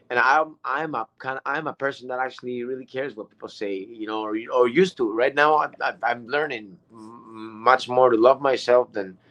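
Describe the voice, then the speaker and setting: Thirties man, casual testimonial, halting and repetitive delivery. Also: mid-range voice, a bit bright. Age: 30s. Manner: rambling, repeats words and restarts often. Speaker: online business member.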